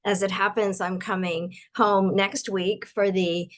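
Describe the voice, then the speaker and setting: An older woman from Ontario giving a webinar talk, her voice a little croaky, halting often with fillers and restarts. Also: medium pitch, level tone. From Ontario, Canada. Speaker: guest speaker.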